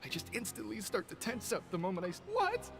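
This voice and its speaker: A man's voice: high-pitched, wildly swooping pitch, fast talker, vocal fry, full character voice. An actor playing a timid, indecisive young man in a video game, nervous, stammering and apologetic. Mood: nervous, flustered. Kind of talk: video game character acting. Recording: game music behind voice.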